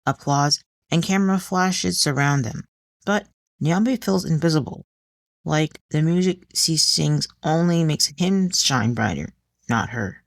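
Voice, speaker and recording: A woman's voice: low-pitched, animated, a little slow, vocal fry, dry recording, clean studio sound. A woman reading a scripted fiction story as a dramatic audiobook narrator.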